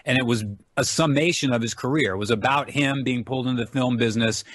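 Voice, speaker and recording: A man's voice: mid-range voice, quick pace, a bit bright, dry recording. Male interview guest, medium register, dry recording, amiable conversational explainer. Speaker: interview guest, filmmaker and author.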